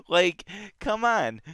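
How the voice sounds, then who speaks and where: Man, casual book talk, bright tone, crisp and dry, unhurried. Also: high-pitched, no room sound. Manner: animated, lots of fillers and restarts. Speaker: book club podcast host.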